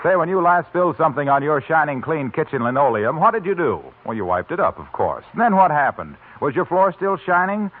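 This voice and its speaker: A man's voice: fairly high pitch, very animated, fairly quick, few pauses. A man announcing in 1940s radio style, with chatty, persuasive salesmanship. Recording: narrow-band vintage radio recording.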